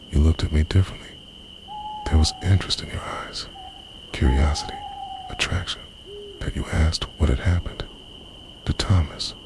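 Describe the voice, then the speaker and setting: An extremely deep, breathy man acting as an ancient obsessive lover murmurs in an intimate ASMR roleplay. The delivery slips between voice and whisper with hugely varied intonation, tender yet possessive. Loud night ambience of crickets and owls sits behind the voice. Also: exaggerated voice. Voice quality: breathy, half-whispered, very low. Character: obsessive centuries-old body-stealing male lover, tender and possessive. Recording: noisy, owls and insects behind.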